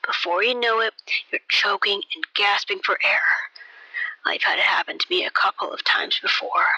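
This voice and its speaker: A woman's voice: medium pitch, normal speed, bright, forceful tone, husky, no echo, in a whisper, full character voice, poor audio. A woman voicing a shady, paranoid washed-up male detective in an amateur radio play, talking like a conspiratorial old-time gumshoe. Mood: hushed, paranoid.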